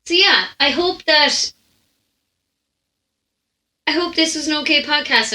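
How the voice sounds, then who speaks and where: A woman's voice: fairly high pitch, pushed and bright. A young Irish woman telling stories off the cuff as a podcast host, chatty and rambling with asides, on a stand microphone in a room at home. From Ireland. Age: young adult. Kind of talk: casual off-the-cuff storytelling. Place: small room at home, indoors. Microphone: microphone on a stand, close in front. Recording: roomy home recording.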